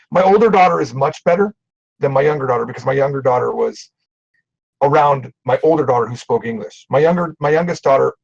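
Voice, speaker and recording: A middle-aged man with a gravelly, husky voice talks over a video call in a loose, animated way, laughing at himself. The sound is muffled and lo-fi, like a streamed call. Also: medium pitch. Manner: animated, self-deprecating, swears casually. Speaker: Japanese teacher and show host. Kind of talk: casual livestream conversation.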